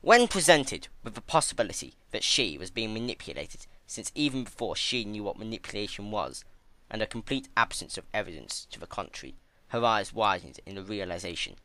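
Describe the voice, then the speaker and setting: A man narrating a dark story in a theatrical, intense, scripted dramatic style. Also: lowish voice, very animated, brisk. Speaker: fan fiction narrator.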